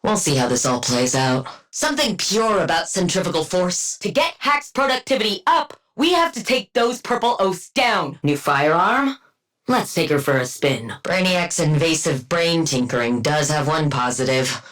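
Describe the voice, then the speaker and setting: A woman playing a brilliant, dry-witted scientist villain-antihero in a video game, speaking in a clinical, deadpan and wryly bookish way. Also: fairly low pitch, normal speed. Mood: urgent, commanding. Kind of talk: video game combat voice lines.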